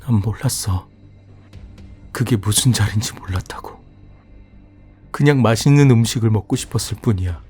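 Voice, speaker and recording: A man's voice: lowish voice, moderate pace, long pauses, darkish tone, breathy edge, faint music behind. A man playing a frightened, indignant young man who protests against a curse in a horror audiobook. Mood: despairing. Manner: protesting, exasperated outbursts.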